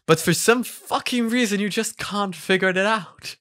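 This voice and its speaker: A man in his twenties giving casual, animated reaction commentary with halting starts, close on a studio mic. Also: high-pitched, no room sound. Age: 20s. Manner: halting, animated, laughs easily. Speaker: host of a reaction video series. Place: small room at home, indoors. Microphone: close boom-arm studio mic.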